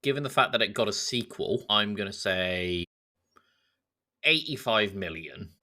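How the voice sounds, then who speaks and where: A man's voice: on the low side, expressive, a little slow, pushed and bright. A man co-hosting a podcast, making wry jokes in casual banter. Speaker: podcast co-host.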